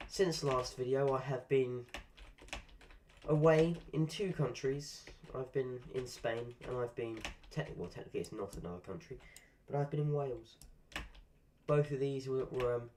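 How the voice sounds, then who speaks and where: A man's voice: mid-range voice, normal speed, a little mellow, slight background noise. A man chatting casually while live-streaming a game, rambling through asides and swearing at the game now and then. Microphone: desk microphone.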